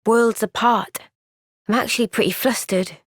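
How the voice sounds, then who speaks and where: An actress voicing a gentle, kind and steady young woman soldier in a game's cutscenes, with a Welsh accent and a soft-spoken, caring, thoughtful way of talking. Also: fairly high pitch, moderate pace, husky, no echo, studio quality. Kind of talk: video game cutscene dialogue. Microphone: close studio microphone.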